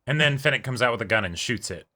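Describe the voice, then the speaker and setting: Mid-pitched man, casual reaction commentary. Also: lively intonation, quick pace, background noise. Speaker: reaction show co-host.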